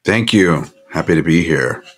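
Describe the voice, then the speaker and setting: A man's voice: low-pitched, animated, normal speed. A man speaking briefly and casually as a player on a tabletop game podcast. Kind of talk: casual chat and tabletop play.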